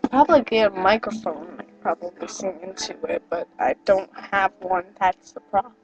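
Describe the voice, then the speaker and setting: A woman's voice: medium pitch, normal speed, low-quality recording. A teenage girl rambling casually to her webcam, getting over a cold and sounding a little nasal, full of fillers and apologies. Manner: chatty, rambling, self-deprecating, many fillers. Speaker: vlogger. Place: small wood-paneled bedroom indoors. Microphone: built-in webcam microphone.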